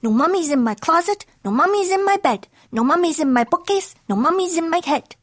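A woman's voice: extremely high pitch, big pitch swings, a little slow, creaky, no echo, studio quality, voice pushed up, full character voice. Woman voicing a dramatic little boy cat. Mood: childlike, sleepy. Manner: dramatic, whiny when scared. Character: young excitable boy cat, a would-be sword fighter. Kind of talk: storybook character voice.

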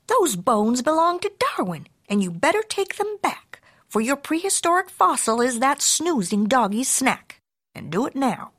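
A woman's voice: big pitch swings, very slow, few pauses, darkish tone, husky, heavily acted. A woman voicing a bossy, exasperated mother cat in a storybook, scolding and commanding. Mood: stern, exasperated. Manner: scolding, commanding, shrill calls.

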